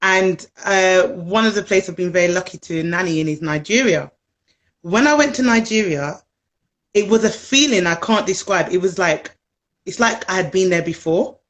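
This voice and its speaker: A woman's voice: fairly low pitch, normal speed. A young British-born woman calling in as a viewer, talking earnestly in a casual live chat over a video call. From England. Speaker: viewer guest.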